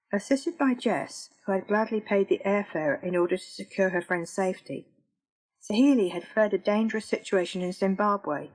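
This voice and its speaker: A woman author reading her own book aloud in a measured, even storytelling manner. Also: medium pitch, rough recording. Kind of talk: audiobook narration.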